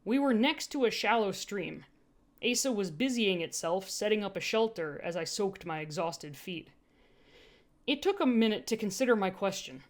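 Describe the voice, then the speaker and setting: A woman's voice: medium pitch, animated, normal speed. A woman reading first-person fiction expressively as an amateur narrator, with an informal manner and casual asides. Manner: informal, breaks off to laugh and comment.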